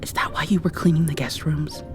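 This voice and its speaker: A woman doing an ASMR roleplay, drifting between whispers and soft speech in an affectionate, playfully scolding way. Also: low voice, steady tone, fairly quick, faint music behind.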